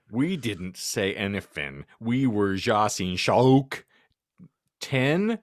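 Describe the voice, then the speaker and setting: A man's voice: medium pitch, no echo, studio quality. A man hosting a podcast, giving a comic, mock-serious dramatic reading of fan fiction. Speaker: podcast host and reader.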